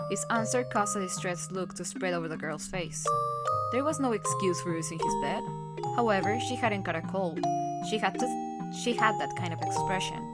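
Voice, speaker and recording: A woman reading a visual novel aloud as scripted dramatic narration, measured and somber, with music behind her. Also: mid-range voice.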